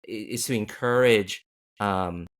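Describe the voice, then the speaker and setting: A man's voice: lowish voice, slow, smooth. A man hosting a casual interview, rambling with many restarts and fillers. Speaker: podcast host.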